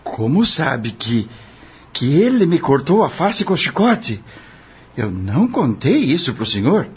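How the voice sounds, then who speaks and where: An actor in an audio drama playing a wary, aggrieved farm worker, proud but hesitant, who questions in plain words. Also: male voice, medium pitch, very animated, unhurried, dull audio, slight background noise. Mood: suspicious.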